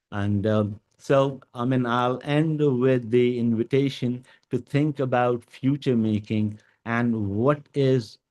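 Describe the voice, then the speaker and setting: A man whose first language is Urdu gives an educational talk to teachers, with many pauses and fillers. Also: fairly low pitch, a little mellow. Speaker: guest speaker.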